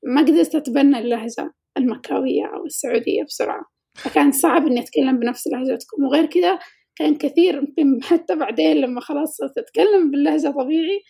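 A woman's voice: sits high, fast talker, vocal fry, dark tone. A woman co-hosting a casual podcast, with a childlike-sounding voice and a thoughtful, reflective way of talking. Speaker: podcast co-host.

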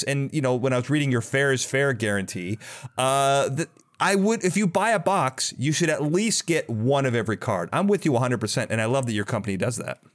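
A man hosting a podcast interview, chatting casually in an enthusiastic, rambling and affirming way. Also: medium pitch. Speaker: podcast host.

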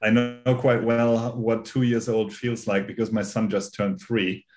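A man in his forties with a German accent, talking as a guest on a video call, measured and full of fillers and restarts. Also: lowish voice, little pitch movement. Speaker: guest, company founder. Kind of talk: conversational interview.

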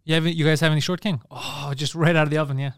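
A man, co-hosting a crude comedy podcast, loudly mocking and roasting his friends. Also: fairly high pitch, fairly even intonation, racing, smooth, no room sound, studio quality. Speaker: podcast co-host.

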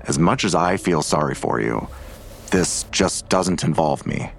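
An actor playing a curt, guarded, world-weary lawman in an audio drama, with blunt, terse delivery and a gravelly voice. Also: very deep voice, lively intonation, unhurried, a little hiss or hum, exaggerated voice. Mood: guarded, dismissive. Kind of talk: full-cast audio drama acting.

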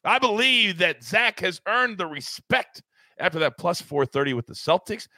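A man hosting a sports betting show, hyped and playful, with big swings in pitch. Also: very animated, normal speed, sharp tone, dry recording. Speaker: host.

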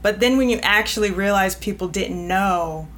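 Woman editor guest chatting and reading aloud, expressive, middle pitch, bit of background hiss. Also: moderate pace. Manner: conversational, laughs often, expressive. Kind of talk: podcast interview and reading aloud.

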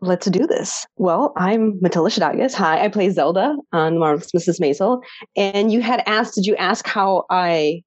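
A woman's voice: medium pitch, moderate pace, slightly rough, dry recording, lo-fi sound. A woman with a Chicago accent whose first language is Polish, an actress chatting as a guest and laughing often. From Chicago, USA. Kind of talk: casual interview chat.

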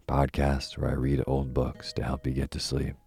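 Man host, extremely low flat voice, hoarse from a failing voice, soothing sleep-story delivery. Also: normal speed, soft background music. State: losing his voice. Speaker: podcast host reading bedtime stories. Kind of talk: slow soothing bedtime talk.

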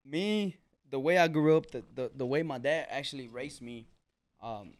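A man in his twenties with a medium-pitched voice speaks as a podcast guest. He sounds earnest and informal, circling back over phrases in a halting, slangy way. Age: 20s. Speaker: podcast guest, small business owner. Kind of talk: casual chat, answering interview questions. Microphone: podcast mic.

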